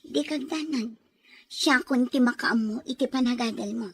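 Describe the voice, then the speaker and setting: An actress plays a young working woman in a radio-style drama. Her voice sits a bit high, and she speaks with earnest feeling, sincere and stubborn. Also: moderate pace, slightly rough. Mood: earnest, caring. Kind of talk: Ilocano audio drama acting.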